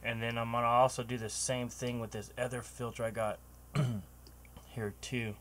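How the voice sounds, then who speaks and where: Bright-voiced man explaining audio software. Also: medium pitch, moderate pace, a little hiss or hum. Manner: relaxed, rambling, many ums and restarts. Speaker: home recording tutor. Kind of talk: casual screen-recorded tutorial. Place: home room with computer running and an open door. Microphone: cheap microphone.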